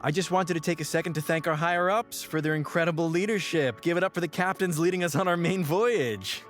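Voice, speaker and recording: An actor playing a nervous, earnest office worker giving a speech in an audio drama, theatrical and self-coaching. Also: male voice, on the high side, fairly quick, faint music behind, natural voice. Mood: upbeat.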